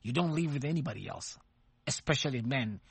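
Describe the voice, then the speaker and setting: Male talk host, lively pitch, husky tone, dry studio sound, African-born. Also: no room sound. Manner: animated, laughs often, quick follow-up questions. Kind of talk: panel discussion moderating.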